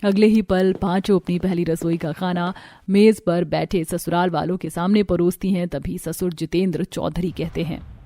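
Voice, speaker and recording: A woman narrating a family cartoon story in a brisk, scripted storytelling manner. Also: fairly low pitch, steady tone, mellow, dry recording.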